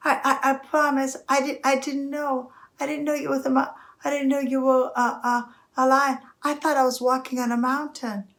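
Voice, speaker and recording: Woman doing a high-pitched, earnest tiny mouse. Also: level tone, moderate pace, fairly bright tone. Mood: frightened, pleading. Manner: stammering, repetitive, earnest. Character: tiny humble pleading male mouse. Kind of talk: animal fable storytelling.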